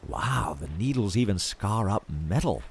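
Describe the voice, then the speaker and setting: A man's voice: lowish voice, lively intonation, unhurried, gravelly, slight background noise. A man playing an obsessive, chatty podcaster who confides in his listeners about his treasure-hunting expedition in an audio drama. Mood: curious, absorbed. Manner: theatrical, confiding, addresses listeners directly. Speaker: podcast host in audio fiction. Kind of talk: audio fiction monologue as a podcast host.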